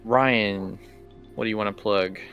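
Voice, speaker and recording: A man game-mastering a tabletop role-playing game, narrating off the cuff in a halting, conversational way. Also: fairly low pitch, fairly even intonation, a bit bright, dull audio, soft background music.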